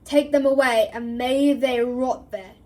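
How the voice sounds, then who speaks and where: A woman's voice: sits high, normal speed, natural voice. A woman voicing a stern, cold male judge who bellows in formal speech. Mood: stern bellowing.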